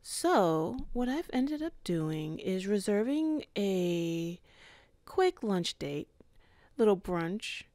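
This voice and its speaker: A woman voicing a calm, composed female boss in an ASMR office roleplay, speaking in a measured, softly flirtatious way. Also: mid-range voice, no echo.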